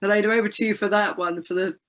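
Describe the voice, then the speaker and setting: A woman's voice: mid-range voice, fairly even intonation, fast, a bit bright. A woman chatting casually in a friendly, informal way as a guest expert. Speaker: air traffic controller, guest expert. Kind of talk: casual chat.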